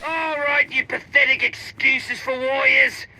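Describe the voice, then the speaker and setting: An actor playing a boisterous, mocking warrior leader in a video game, bellowing over radio comms. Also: male voice, very high voice, animated, a little slow, gravelly, pushed and bright, slight background noise, full character voice, rough recording. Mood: rowdy taunting. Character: boisterous, taunting male Mandalorian warrior leader.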